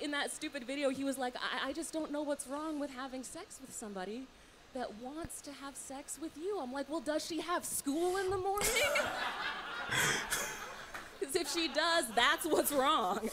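A woman's voice: fairly high pitch, very noisy. A woman comedian performing a stand-up set on a small stage with a handheld mic, biting and sarcastic. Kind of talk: stand-up routine. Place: small stage with curtain backdrop.

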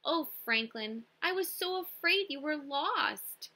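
A woman's voice: high-pitched, animated, slow pace, bright, forceful tone, pitched above the performer's own voice, full character voice. A young woman voicing a caring mother turtle in a storybook, soothing and attentive. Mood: concerned, attentive.

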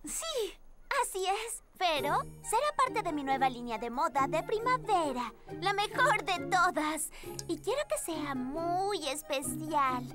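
A woman voicing an enthusiastic, fashion-loving young girl in a dubbed cartoon, breezy and expressive. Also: extremely high pitch, slow pace, full character voice. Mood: proud excitement. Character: dreamy, enthusiastic young raspberry girl who loves fashion. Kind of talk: dubbed children's cartoon acting. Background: loud playful children's music.

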